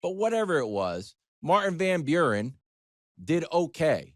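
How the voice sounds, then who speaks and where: A middle-aged man telling a conversational story as a sports talk panelist, animated and wry, slipping into imagined dialogue. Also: a little high, measured pace, no room sound, studio quality.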